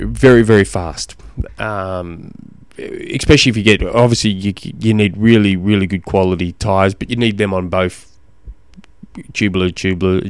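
Rambling man, low voice, slightly hoarse. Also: no room sound. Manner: rambling, self-deprecating, lots of ums and restarts. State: recovering from a cold, hoarse. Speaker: podcast host and cycling coach. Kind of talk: casual solo podcast chat.

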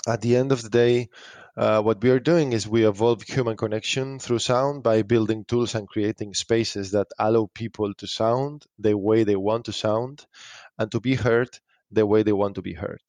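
A man's voice: on the low side, steady tone, normal speed, a little mellow, no room sound. A man with a Spanish accent giving explanatory interview answers as a company founder.

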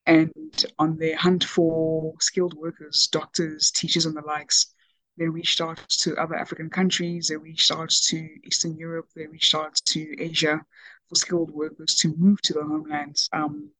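Flat-voiced Ghanaian-born woman, dry sound. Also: low voice, normal speed, dry recording, low-quality recording. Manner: hesitant, many ums and restarts. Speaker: podcast guest, business executive. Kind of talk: conversational interview.

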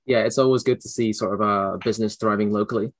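A man's voice: on the low side, fairly even intonation, fast, no room sound. A man interviewing a guest in a conversational business style.

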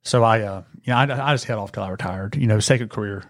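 A man's voice: fairly low pitch, fast, no echo, clean studio sound. A retired police officer, a podcast guest who talks earnestly and keeps saying "man.". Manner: earnest, says "man" a lot. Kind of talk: casual chat.

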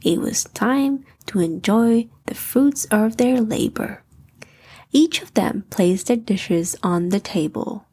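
Breathy woman reading a bedtime story, unhurried. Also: medium pitch, a little mellow. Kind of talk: scripted bedtime story narration.

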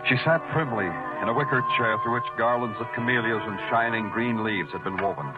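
Muffled radio noir actor as hardboiled detective. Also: male voice, medium pitch, fairly quick, loud music behind the voice, lightly acted. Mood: brooding, poetic. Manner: dry, sardonic, poetic. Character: hardboiled, world-weary male police detective narrator. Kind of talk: 1950s radio noir acting. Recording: muffled old 1950 radio recording.

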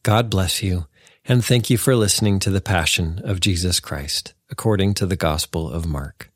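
A man's voice: low voice, normal speed, no room sound, studio quality. A man, a pastor, giving a spoken introduction to a recorded scripture reading for his church.

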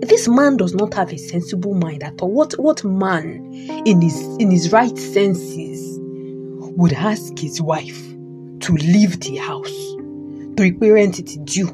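A woman plays a stern elderly Nigerian father with a Yoruba accent in an audio drama. The lowish voice is emphatic and admonishing yet supportive, repeating points over clear guitar music. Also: lively intonation, slow pace. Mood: indignant, scolding. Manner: emphatic, repetitive, admonishing, paternal.

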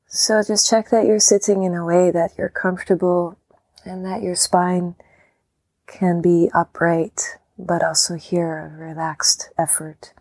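A woman leading a guided meditation, speaking calmly and gently in short phrases with long pauses. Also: on the low side, no room sound, poor audio. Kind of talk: guided meditation, softly spoken instruction.

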